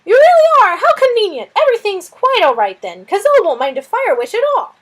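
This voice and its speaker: A woman's voice: very high voice, very animated, fairly quick, pitched above the performer's own voice. A woman voicing a fussy, flustered little male lizard who dithers and frets in an audiobook. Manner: twittering, easily fretting, dithering. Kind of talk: audiobook character voice.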